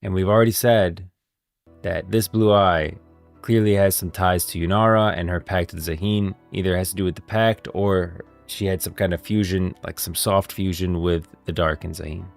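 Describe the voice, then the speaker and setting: A male streamer explains game lore. His voice is fairly low with a lot of vocal fry. He is on a close mic with soft music in the background. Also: steady tone, normal speed. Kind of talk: lore commentary, part scripted, part off the cuff.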